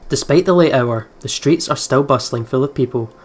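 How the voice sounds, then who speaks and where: A man hosting a gaming video, reading story text aloud and thinking out loud in a chatty way. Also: mid-range voice, normal speed, husky.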